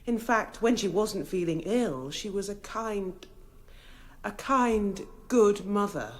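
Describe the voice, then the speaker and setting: An actress playing an earnest, dutiful young daughter testifying in a radio drama, careful, polite and halting. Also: female voice, medium pitch, natural voice. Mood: earnest, pained.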